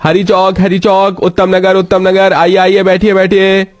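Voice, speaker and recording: A man's voice: high voice, completely flat delivery, normal speed, faint music behind, voice pushed up, close to an everyday voice. A man voicing an easygoing young auto-rickshaw driver, a husband and son in a story, speaking in a familiar way. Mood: calling out.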